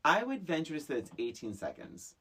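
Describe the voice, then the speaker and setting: A man's voice: fairly high pitch, huge pitch swings, a little fast, fairly bright tone, husky. A man co-hosting a podcast, chatting casually and sarcastically as he recaps a film. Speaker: podcast co-host.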